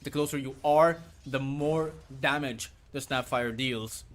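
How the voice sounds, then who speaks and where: A man who speaks Urdu as his first language, casting a match alone, with rambling asides between quick play calls. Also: mid-range voice, a bit bright. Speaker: esports caster. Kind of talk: solo play-by-play commentary.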